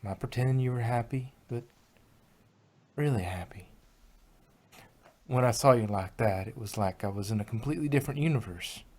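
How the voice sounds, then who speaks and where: A man's voice: medium pitch, normal speed, pauses often, a little mellow, natural voice. A man voicing an honest, plain-spoken female farm pony with folksy country phrasing in a fan fiction reading. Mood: warm, encouraging.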